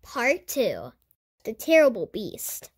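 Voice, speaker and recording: High, animated storybook reader, a woman. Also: slow, slightly rough. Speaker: children's storybook reader and host. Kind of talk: animated read-aloud narration for kids.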